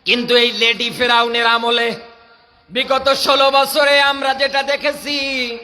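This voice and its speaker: High, piercing Bangladeshi male preacher. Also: level tone, measured pace. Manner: impassioned, declamatory, often shouting, prodding the crowd with questions. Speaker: Islamic preacher. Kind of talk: fiery outdoor-rally sermon with Quran recitation. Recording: noisy live public-address recording.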